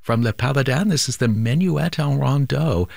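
A man hosting a classical music radio station, making a calm, measured pledge drive appeal from a studio microphone. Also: mid-range voice, creaky, dry recording. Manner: calm, measured, sometimes drops to a near whisper.

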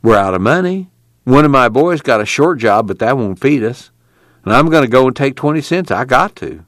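A man's voice: medium pitch, big pitch swings, normal speed, a bit bright, no room sound, clean studio sound, voice pushed up. Man doing an anxious poor farm father. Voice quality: folksy rural drawl, pleading. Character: anxious poor middle-aged farming father.

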